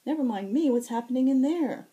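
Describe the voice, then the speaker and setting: A woman performs a stubborn young man in a fantasy audiobook. He talks bluntly and casually like a concerned buddy, in a mellow voice. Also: sits high, animated, fairly quick, lo-fi sound, voice pushed up.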